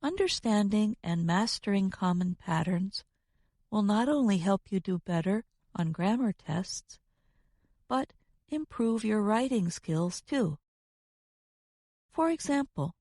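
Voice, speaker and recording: Woman, podcast co-host, careful instructional narration, unhurried pace, dry studio sound. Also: mid-range voice, a little mellow, no echo. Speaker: co-host of an English lesson podcast. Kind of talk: scripted educational narration.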